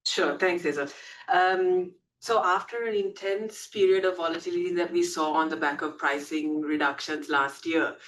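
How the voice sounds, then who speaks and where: A woman's voice: fairly even intonation, normal speed, a bit bright. Female business leader explaining quarterly results, picked up from a distance. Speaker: company executive. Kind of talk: business update on an earnings call. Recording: distant mic, faint rumbling noise.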